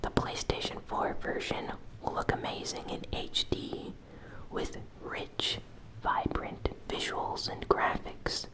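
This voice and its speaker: A woman hosting gaming news in a breathy ASMR whisper, delivering it softly and deliberately in short phrases. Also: rough recording. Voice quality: breathy, fully unvoiced whisper. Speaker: host of a gaming news show.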